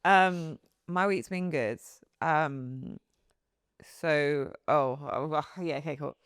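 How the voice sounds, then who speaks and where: A woman's voice: low-pitched, slow pace, clear, resonant tone, dry recording, clean studio sound. A woman co-hosting a podcast in casual chat, rambling and restarting her sentences, often giggling. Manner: rambling, loses her train of thought, giggly. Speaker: podcast co-host.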